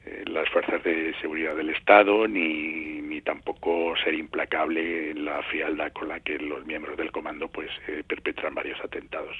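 A middle-aged or older man from Madrid with a Castilian accent, answering radio interview questions in a halting, measured way, searching for words. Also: rumbling low, little pitch movement, husky, no echo. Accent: Castilian Spanish from Madrid. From Madrid, Spain. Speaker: journalist and novelist, interview guest. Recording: muffled phone line into radio show.

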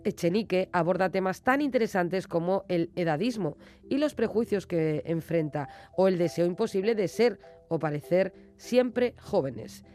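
A woman's voice: lowish voice, lively intonation, moderate pace, dark tone, soft background music. A woman hosting a radio book programme, delivering a semi-scripted review in a narrating style.

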